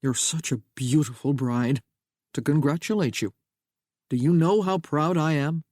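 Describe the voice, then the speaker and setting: A man doing a booming older American father. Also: measured pace, a little mellow, no echo, clean studio sound. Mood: fatherly. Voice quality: booming drawl.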